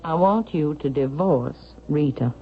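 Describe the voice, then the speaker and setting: Casting: a haughty elderly Southern matriarch, low voice, sharp and demanding, old radio drama on a dull tape. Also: female voice, unhurried. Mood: cold, accusing. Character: proud, imperious, suspicious elderly Southern mother, wealthy widow. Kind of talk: vintage radio drama acting. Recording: dull vintage radio broadcast, faint noise.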